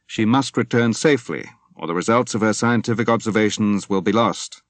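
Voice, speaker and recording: Male reader voicing senior navy officer, formal, commanding, measured and emphatic, dry close sound. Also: on the low side, no echo, lightly acted.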